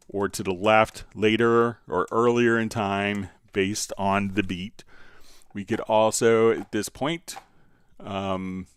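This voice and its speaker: Smooth-voiced man giving a software walkthrough. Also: on the low side, no room sound, studio quality. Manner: explanatory, step-by-step. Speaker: livestream host, software instructor. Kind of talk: casual software tutorial, answering viewer questions.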